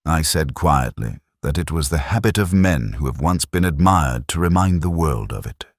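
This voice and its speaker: Very deep-voiced man narrating a brooding mystery. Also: expressive, dry recording, studio quality. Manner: measured, literary, brooding delivery. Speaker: narrator of a detective story. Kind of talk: scripted first-person audio drama narration.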